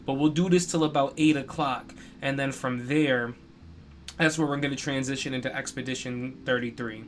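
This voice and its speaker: A man's voice: mid-range voice, fairly even intonation, normal speed, slight background noise. A young man hosting a live stream, talking in a casual, chatty way with lots of "you know" fillers. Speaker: streamer and podcast host.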